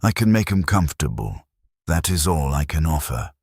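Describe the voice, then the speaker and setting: A man's voice: rumbling low, sing-song, moderate pace, darkish tone, husky, no echo, studio quality, full character voice. A man voicing a grim, resigned military medical officer in a clinical, blunt and formal way for a war story. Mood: grave, resigned.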